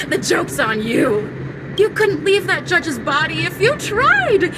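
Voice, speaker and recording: A woman's voice: very high voice, big pitch swings, drawn-out words, background noise, heavily acted. A woman playing a mocking, sadistic fire spirit in a video game, theatrical and taunting. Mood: gloating.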